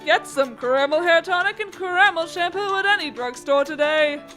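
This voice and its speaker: A woman's voice: very high voice, measured pace, bright, forceful tone, faint music behind, exaggerated voice. A woman playing a courteous, eager radio announcer, formal and polished in a 1940s style. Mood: upbeat, formal.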